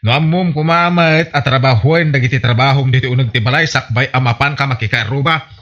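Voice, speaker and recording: A man's voice: mid-range voice, a little fast, fairly bright tone, close to an everyday voice. A man playing an exasperated village husband who scolds his wife in a comedy radio drama, on muffled audio.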